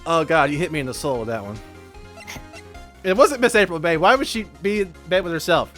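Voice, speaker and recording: Male co-player: playful casual chat, lively highish voice, faint game music. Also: fairly quick. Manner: playful, animated. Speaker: guest co-player. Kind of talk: casual reaction chat during gameplay.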